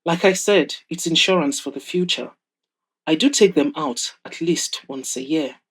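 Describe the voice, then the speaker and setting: A woman's voice: deep voice, normal speed, breathy edge. A woman plays a proud, wealthy older mother in an audio drama. She is wry and frank, handing advice to her grown son with a teasing edge. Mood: amused, warning.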